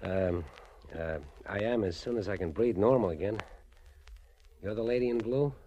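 An American actor playing a tough, wary racketeer boss in a 1940s radio crime drama, talking in clipped gangster slang. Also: male voice, fairly low pitch, moderate pace, warm tone, lightly acted. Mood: wary, impressed. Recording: muffled old 1940s radio recording.

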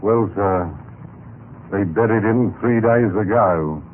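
Deadpan, deferential porter for vintage radio play, lowish pitch, faint noise on tinny broadcast. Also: male voice, slow. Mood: deadpan, respectful. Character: plain, stolid office porter, respectful and deadpan. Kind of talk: 1950s radio drama acting. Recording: noisy, tinny old radio recording.

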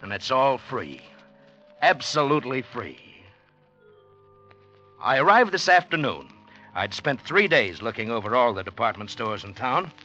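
An actor playing an exultant, secretive, penniless poet, narrating theatrically in a 1940s radio drama. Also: male voice, mid-range voice, sing-song, measured pace, long pauses, sharp tone, muffled recording. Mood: exultant, confiding. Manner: theatrical, intense, declamatory. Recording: old 1940s radio broadcast, music behind.

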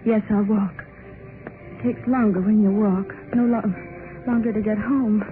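A woman's voice: medium pitch, fairly even intonation, a little slow, lightly acted. Muffled 1930s radio sound with music behind it carries a woman acting a young wife of ordinary voice and dreamy, brooding temper. She is anxious and turns phrases over to herself in the period's theatrical manner. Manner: murmurs repeated phrases to herself.

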